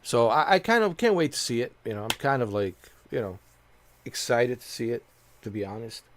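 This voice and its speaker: A man's voice: medium pitch, animated, fairly quick, a bit bright, slight background noise. A man born in Bosnia who grew up in America, chatting casually and rambling while streaming a game, with many fillers and repeated words. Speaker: gaming streamer. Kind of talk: casual chat while playing.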